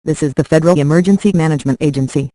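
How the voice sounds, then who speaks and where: A man's voice: a little high, very fast, very noisy. A man reading a scripted emergency alert as an announcer, in formal, authoritative wording.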